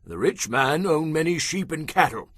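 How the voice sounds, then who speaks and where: A man's voice: fairly high pitch, expressive, normal speed, a bit bright, dry recording, studio quality. An actor playing a solemn, principled man of ancient Israel in a dramatized audio Bible, earnest and declamatory. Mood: solemn storytelling.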